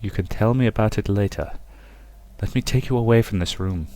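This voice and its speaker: A man voicing a gentle, protective man in a visual novel, speaking softly and coaxingly to console someone. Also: fairly low pitch, close to an everyday voice. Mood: tender, reassuring. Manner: soft, slow, coaxing. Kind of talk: dramatic reading of visual novel dialogue.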